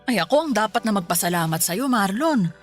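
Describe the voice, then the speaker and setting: An actress playing a loving, selfless middle-aged mother in a Filipino radio drama, reassuring and unhurried. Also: mid-range voice, animated, lightly acted. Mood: tender, grateful. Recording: music bed under the voice.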